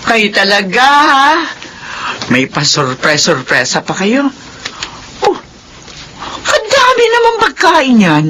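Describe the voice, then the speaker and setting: A tender middle-aged mother in an old-style radio play, her voice rising and falling with feeling. She sits somewhat high for a woman and talks in a lively, expressive way, with faint hiss under the recording. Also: slow pace, long pauses, exaggerated voice. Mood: overjoyed. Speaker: mother character. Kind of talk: classic radio drama acting. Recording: radio drama with faint noise.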